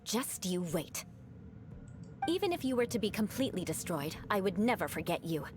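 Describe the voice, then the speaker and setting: A woman voicing a proud, vengeful young woman knight in a game, cold and haughty, with sharp battle cries. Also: mid-range voice, animated, normal speed, background noise, close to an everyday voice. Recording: game audio with music underneath.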